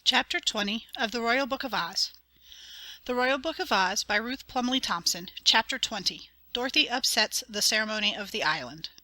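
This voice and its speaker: Bright-voiced woman narrating a storybook. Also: fairly high pitch, normal speed. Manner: clear, expressive storytelling. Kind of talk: dramatic audiobook reading.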